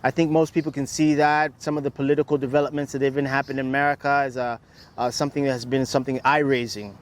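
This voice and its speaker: A man's voice: medium pitch, flat delivery, fast. A man being interviewed, giving advice in an emphatic way and building lists and rhetorical questions. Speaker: interview guest. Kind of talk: reflective advice-giving conversation.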